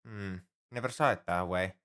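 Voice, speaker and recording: A man's voice: low-pitched, normal speed, a bit bright, clean tone. A man playing an anxious, caring alien crewmate on a spaceship in an ASMR roleplay, speaking earnestly and hesitantly with slightly formal phrasing. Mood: relieved, earnest. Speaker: ship crewmate tending a sick human.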